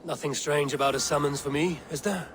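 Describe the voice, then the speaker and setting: An actor playing a steadfast Viking warrior leader with a measured, direct delivery in a game drama. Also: male voice, a little high, a little hiss or hum, close to an everyday voice. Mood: direct, curious. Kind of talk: dramatic game cutscene acting.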